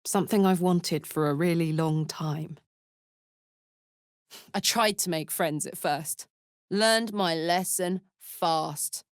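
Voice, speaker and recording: A woman voicing a brash, warm-hearted tiefling warrior in a video game, with blunt, earthy soldierly talk. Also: lowish voice, slow, dry recording, studio quality. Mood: tender. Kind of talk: video game character voice acting.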